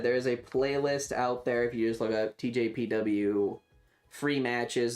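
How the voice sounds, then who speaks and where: A man chatting casually on a livestream, rambling and swearing with enthusiasm as he reacts and gives his takes. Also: medium pitch.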